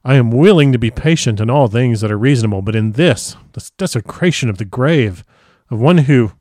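A man voicing a grieving, passionate gentleman in an audiobook, emphatic and easily outraged. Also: mid-range voice, sing-song, drawn-out words, no echo, studio quality, heavily acted. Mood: indignant. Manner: impassioned, emphatic outbursts. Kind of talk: audiobook character voice.

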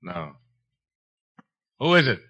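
Clipped, forceful radio detective man. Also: on the low side, big pitch swings, slow, exaggerated voice. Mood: probing, guarded. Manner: clipped, dry questioning. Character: cool, probing male insurance investigator narrating his case. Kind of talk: 1950s radio detective drama acting.

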